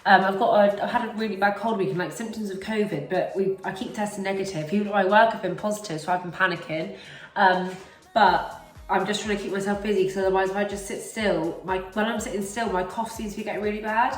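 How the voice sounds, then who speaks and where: A young woman with a cold-roughened voice, chatting casually to camera in her kitchen and often correcting herself. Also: mid-range voice, fairly even intonation, fast talker. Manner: chatty, rambling, self-correcting. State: hoarse with a cold. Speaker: cleaning vlogger. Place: home kitchen, indoors. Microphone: camera mic at a few feet. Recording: roomy kitchen echo, mic at a distance, soft music.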